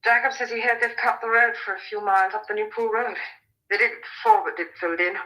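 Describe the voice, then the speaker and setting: Actress as bright-voiced, fretful young woman in radio drama. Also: mid-range voice, fast talker, natural voice, low-quality recording. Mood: fearful, brooding. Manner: earnest, emphatic, fretful. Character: anxious, protective young farmer's daughter sheltering a fugitive. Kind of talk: vintage radio drama acting.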